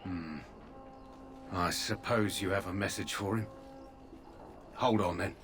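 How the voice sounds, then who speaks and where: A dutiful low-voiced guard. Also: male voice, fairly even intonation, slow pace, over music. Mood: matter-of-fact. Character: dutiful male soldier guarding a tent.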